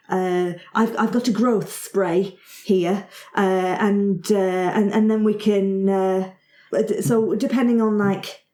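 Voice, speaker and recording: A woman's voice: medium pitch, mellow, echoey room, close to an everyday voice. A woman voicing a female dwarf gardener who is flustered, polite and eager to help, rambling and stammering in a tabletop game.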